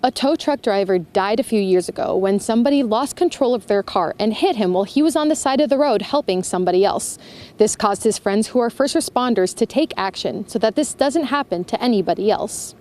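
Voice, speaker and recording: A woman's voice: fairly high pitch, animated, fairly quick, a little mellow. An American woman narrating a scripted television news report.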